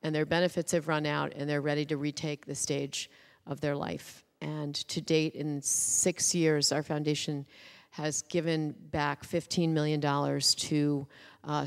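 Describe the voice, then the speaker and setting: A woman giving measured, reflective introductory remarks as a speaker on a public panel. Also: sits low. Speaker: panel speaker, co-founder of a veterans' charity. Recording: reverberant hall sound.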